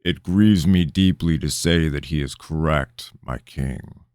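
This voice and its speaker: A man voicing a grave, formal royal advisor who speaks in a measured way. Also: very deep voice, a little mellow, clean tone, dry recording, studio quality.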